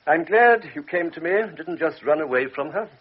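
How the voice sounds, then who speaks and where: An actor playing a calm, authoritative older doctor in a radio drama, speaking in a measured, persuasive way. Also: male voice, fairly high pitch, breathy edge. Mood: grave. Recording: muffled old radio recording.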